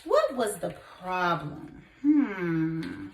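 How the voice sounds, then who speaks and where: A woman teacher reading a story aloud to young children, expressive and encouraging, putting questions to her listeners. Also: on the low side, very slow, vocal fry. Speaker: kindergarten teacher. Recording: echoey room.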